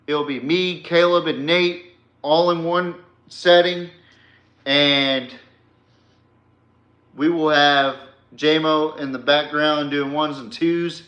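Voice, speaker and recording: A man's voice: a little high, steady tone, takes it slowly, fairly bright tone, roomy sound. A man hosting a casual solo podcast, talking bluntly and with casual swearing into a podcast microphone. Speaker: podcast host.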